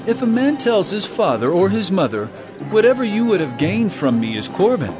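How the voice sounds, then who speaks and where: Authoritative, reproving teacher for scripture drama: higher register, very animated, declamatory. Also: male voice, moderate pace, over music, crowd noise. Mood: stern reproach.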